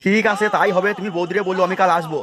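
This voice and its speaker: A man's voice: sits high, steady tone, rapid-fire, fairly bright tone. A man voicing a boorish, boastful and lecherous drunken village man in a cartoon. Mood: jovial, boastful. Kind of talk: cartoon dialogue. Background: music behind the voice.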